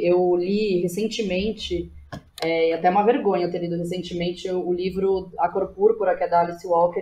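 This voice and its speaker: A woman's voice: fairly low pitch, a little mellow. A woman in her thirties with a Brazilian accent, talking casually and candidly as an interview guest, often checking in with little tag questions. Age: 30s. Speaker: podcast guest, theatre teacher and playwright. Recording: clear background noise behind the voice.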